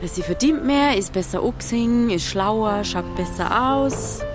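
An actress with a Bavarian accent playing a sly, good-humoured village matchmaker in a dialect radio play. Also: female voice, a little high, expressive, measured pace, over music. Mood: dry, confiding.

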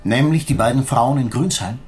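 An actor playing an impatient, sceptical police inspector who questions curtly and sarcastically in a radio drama. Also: male voice, mid-range voice, moderate pace, darkish tone, husky, natural voice. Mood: pressing. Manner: curt, probing, sarcastic. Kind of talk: naturalistic German radio drama acting.

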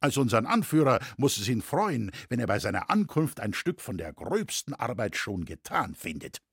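A brash guildsman rousing his fellows in a historical storybook, acted by a male reader. He is declamatory and impatient, with very lively pitch and a slightly rough, husky edge. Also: moderate pace, no room sound, studio quality, exaggerated voice. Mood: impatient, insistent. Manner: declamatory, argumentative. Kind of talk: audiobook character acting.

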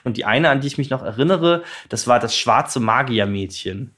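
A man's voice: mid-range voice, lively intonation, moderate pace, a bit bright, faint music behind. A man in a casual conversation telling his own story, sounding nervous and self-conscious. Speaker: guest telling a story from school days.

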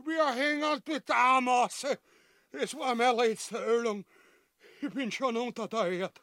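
Melodramatic cowardly warlord, shrill drawn-out moans, dry studio sound, radio farce. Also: male voice, steady tone, bright, forceful tone, no room sound, heavily acted. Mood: wailing, self-pitying. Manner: wailing, drawn-out moaning. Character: whining, cowardly, melodramatic wounded buffoon warlord. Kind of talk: grotesque comedy radio play acting.

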